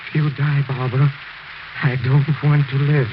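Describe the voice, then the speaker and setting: An actor playing a grief-crazed, obsessive widower in an intense, insistent 1940s radio horror style. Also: male voice, mid-range voice, little pitch movement, normal speed, lightly acted. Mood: desperate grief. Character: grief-crazed, obsessive widower awaiting his dead wife. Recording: noisy, muffled 1940s radio recording.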